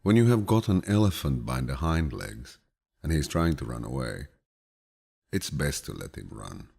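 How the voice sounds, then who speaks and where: A man reading quotations as an audiobook narrator in a deep, gravelly, husky voice, calm, soothing and grandfatherly in his measured storytelling.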